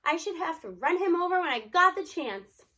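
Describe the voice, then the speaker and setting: A woman's voice: very high voice, big pitch swings, moderate pace, pushed and bright, exaggerated voice. A woman voicing a hot-tempered, sarcastic middle-school boy in a children's storybook, protesting indignantly.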